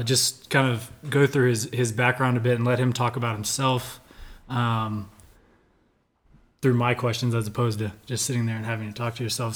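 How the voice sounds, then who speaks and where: A man hosting a casual interview podcast, joking easily and using many fillers. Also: mid-range voice. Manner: easygoing, joking, filler-heavy. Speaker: podcast host.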